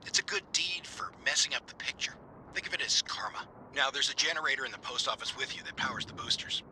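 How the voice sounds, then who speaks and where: An actor playing a brusque, eccentric amateur paranormal investigator in a video game, gruff and wry over a two-way radio. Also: male voice, mid-range voice, animated, fast, pushed and bright. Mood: businesslike. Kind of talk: naturalistic game voice acting. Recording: noisy two-way radio transmission.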